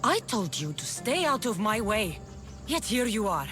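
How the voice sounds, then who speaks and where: A woman's voice: mid-range voice, sing-song, a little slow, a bit bright. A bitter, menacing young woman warrior in a cinematic game. Her mid-range voice is cutting and taunting, with big rises and falls. Music plays behind her. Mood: cold, challenging. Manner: taunting, cutting, dramatic delivery. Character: fierce young female cult-raised warrior, bitter, menacing, contemptuous. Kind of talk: cinematic video game acting. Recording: music under the voice.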